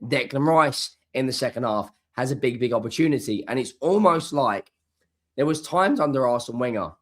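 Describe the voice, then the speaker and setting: A hoarse man hosts a solo football reaction, talking emphatically and often repeating key words for stress. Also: mid-range voice, lively intonation, normal speed. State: hoarse, coming down with flu. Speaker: football channel host. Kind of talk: solo post-match reaction.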